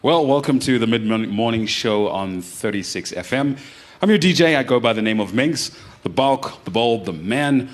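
A man's voice: medium pitch, expressive, normal speed, lightly acted. A man playing a brash, boastful radio DJ in a live radio drama, with a swaggering, playful manner. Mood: showy, boastful. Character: brash, boastful young male mid-morning radio DJ.

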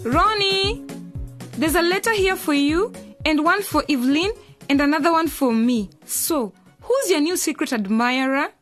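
A cheeky young girl in a radio drama. Her voice is high and sassy, the teasing sister, with faint music underneath. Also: animated, measured pace, fairly bright tone.

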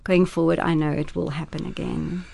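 A woman's voice: low-pitched, level tone, moderate pace, gravelly, a little mellow, no room sound. Afrikaans-speaking woman, dry studio voice. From South Africa. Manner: earnest, thoughtful, some restarts. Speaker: actress and producer, interview guest. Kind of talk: radio interview.

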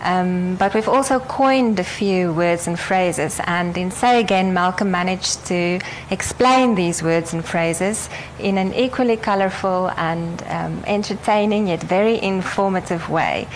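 A South African woman hosting an event and introducing the author, in a measured way with frequent pauses. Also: on the low side, slight background noise, low-quality recording. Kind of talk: formal introduction speech.

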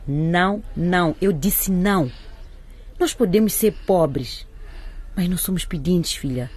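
A woman's voice: lowish voice, unhurried, a little mellow, slightly rough. A woman playing a proud, stubborn, hard-working mother in a radio drama, brusque and indignant in her delivery. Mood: proud, firm. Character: proud, stubborn, struggling market-vendor mother, scolding and suspicious.

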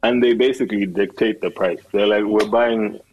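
A man from Kenya with a deep, husky voice tells his story loosely, full of "like" and "you know." He rambles and restarts often, and the sound has a radio broadcast feel. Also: moderate pace, dull audio. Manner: relaxed, rambling with many fillers and restarts. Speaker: farmer and entrepreneur, guest. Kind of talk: casual conversational storytelling.

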